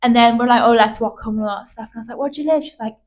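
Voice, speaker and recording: A young woman in her twenties hosting her own podcast, chatting casually with lots of "like" fillers and bursts of quick talk. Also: on the high side. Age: 20s. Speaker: podcast host. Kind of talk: casual chat.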